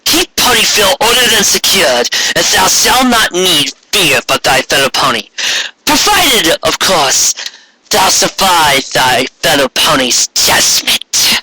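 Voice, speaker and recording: A man's voice: sits high, sing-song, slow pace, sharp tone, slight background noise, pitched above the performer's own voice, full character voice, low-quality recording. A man voicing a grandiose, menacing female alicorn tyrant who proclaims in archaic, theatrical royal speech for a story. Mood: threatening.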